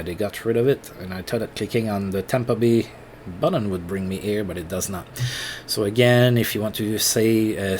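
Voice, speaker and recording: A man with a French accent, thinking aloud in halting, casual commentary while playing a management game on his computer. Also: lowish voice, a little mellow, slight background noise. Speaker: let's play host.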